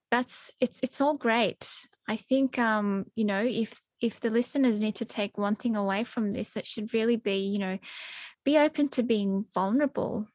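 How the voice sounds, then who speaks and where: Chatty woman host with a fairly high voice. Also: no echo. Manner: chatty and warm, with many fillers and restarts. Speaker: podcast host, team member. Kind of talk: workplace podcast conversation.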